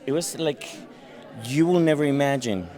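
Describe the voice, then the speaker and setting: A man's voice: mid-range voice, expressive, normal speed, dark tone. A man whose first language is Spanish, telling his story in a casual interview at a conference and acting out the voices of people he quotes. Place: busy conference hall. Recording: conference crowd chatter behind.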